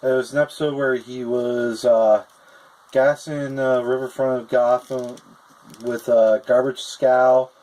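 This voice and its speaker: A man's voice: mid-range voice, level tone, measured pace, darkish tone, rough recording. A man joining a friend's toy review, chatting casually and recalling details with many fillers. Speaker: guest, friend of the host. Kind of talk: casual chat.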